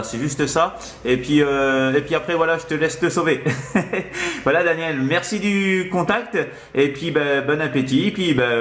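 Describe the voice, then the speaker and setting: A man's voice: a little high, a bit bright. A man chatting casually as a radio operator, friendly and hesitant, often repeating his words, in an echoey room.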